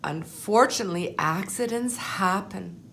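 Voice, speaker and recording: A woman voicing a humble, anxious but determined egg telling his life story in a storybook, in an earnest, measured way. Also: mid-range voice, creaky, noisy background. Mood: hopeful. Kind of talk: storybook first-person narration.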